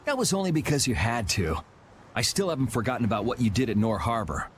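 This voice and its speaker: A man's voice: mid-range voice, big pitch swings, fast, natural voice. Game hero: cool young vigilante, male actor, mid-range voice, dry and sardonic, noise underneath. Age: young adult. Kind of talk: video game voice acting.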